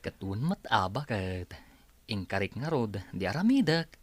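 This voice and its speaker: An actor playing an evasive, pleading husband with a Filipino Ilocano accent, in radio drama style. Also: male voice, fairly low pitch, sing-song, moderate pace. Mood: defensive. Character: pleading, evasive husband who goes out drinking.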